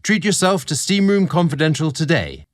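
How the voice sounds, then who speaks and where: A British man narrating a scripted story, theatrical and deliberate in his phrasing, drawing out words as a podcast host. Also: on the high side, big pitch swings, no room sound, studio quality.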